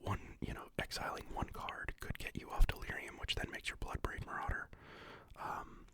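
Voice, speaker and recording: A fully unvoiced, close whisper that drifts along in a loose, halting way, with many restarts and filler words. The speaker is getting over being sick and sniffles now and then. It is a calm ASMR-style walkthrough. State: sniffly, recovering from illness. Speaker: card game deck explainer.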